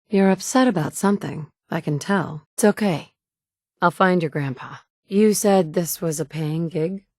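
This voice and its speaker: A woman's voice: fairly low pitch, no echo. An actress voicing a tough, wry wasteland survivor woman in video game dialogue, talking curtly and dryly. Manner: curt and dry, shifting between blunt and gentle. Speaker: player character. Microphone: close studio mic.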